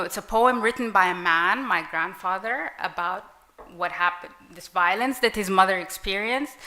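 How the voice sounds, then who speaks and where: A woman whose first language is Arabic, speaking thoughtfully on a live panel with many pauses and restarts. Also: mid-range voice, pushed and bright. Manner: reflective, hesitant, many fillers and restarts. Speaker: panelist author. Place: literary event with audience.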